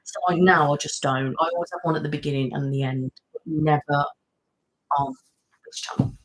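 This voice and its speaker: A woman's voice: low voice, fairly quick, low-quality recording. Chatty British woman host. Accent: British English. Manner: chatty, rambling, many fillers and restarts. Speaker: ASMR channel host. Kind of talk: casual live-stream chat reading viewer comments.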